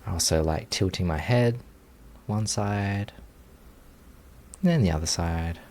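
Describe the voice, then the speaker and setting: A man with a low, gravelly-edged voice leads a guided meditation. He speaks very slowly and gently, leaving long pauses between short phrases. His delivery is inviting and unhurried, with fry in the tone. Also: slight background noise. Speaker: meditation teacher.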